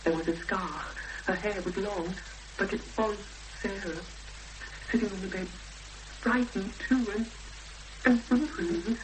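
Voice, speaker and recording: A woman's voice: medium pitch, a little slow, long pauses, close to an everyday voice. An actress playing a distressed, determined young woman, tense and earnest, in a 1970s radio drama. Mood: haunted narration. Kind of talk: 1970s radio drama acting and first-person narration. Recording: noisy old radio broadcast with hum.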